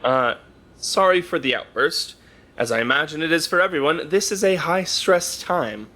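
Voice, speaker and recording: A man's voice: on the high side, expressive, normal speed, sharp tone. A man playing an earnest radio news anchor delivering breaking news in an audio drama. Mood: apologetic. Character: earnest, oddly priority-confused male radio news anchor.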